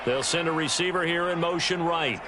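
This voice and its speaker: A man with an American accent playing a play-by-play football announcer in a video game, calling the action in crisp, clipped phrases. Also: on the high side, normal speed, close to an everyday voice. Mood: steady, focused. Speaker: play-by-play sports commentator. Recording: very loud game crowd and field noise.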